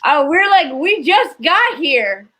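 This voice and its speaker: A woman from Chicago with an American accent takes part in a casual panel chat. She has a high, bright voice and a joking, informal style. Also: big pitch swings, slow, slight background noise. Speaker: podcast panelist.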